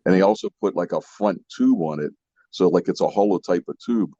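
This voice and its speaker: A man with a low, husky voice explains things conversationally, circling back over his words and checking that others follow. The recording is dull and dry, with little room sound. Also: fairly quick, dark tone, dry recording. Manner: repeats himself, checks in with listeners. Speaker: podcast co-host. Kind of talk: casual explanatory chat. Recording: muffled lo-fi audio, dry.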